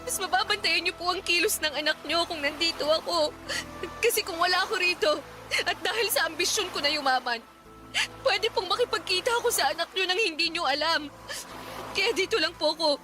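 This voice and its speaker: A poor, humble young woman in a Filipino radio drama. Her voice is extremely high, pleading and tearful, always deferential. Music runs clearly beneath the dialogue. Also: a little fast. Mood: pleading, determined. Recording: music bed under dialogue.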